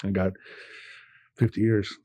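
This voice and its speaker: A man's voice: fairly low pitch, level tone, a little slow, warm tone, husky, no room sound. An older man from Cuba, a bank executive speaking passionately in a podcast interview, often repeating words for emphasis. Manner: passionate, repeats words when stressing a point.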